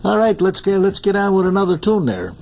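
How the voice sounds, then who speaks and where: A man's voice: sits high, big pitch swings, fairly quick, darkish tone, slight background noise. A man hosting a radio show, chatting casually with guests in a jovial, teasing way and laughing often. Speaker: radio host. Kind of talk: casual on-air chat. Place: radio studio.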